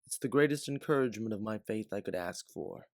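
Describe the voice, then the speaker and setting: A man voicing a devout, loyal companion in a sci-fi story, speaking in an earnest, persuasive, measured way. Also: lowish voice, animated, vocal fry, no room sound, studio quality. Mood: devout, hopeful. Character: Aleph, devout, loyal, forgiving companion and resistance believer.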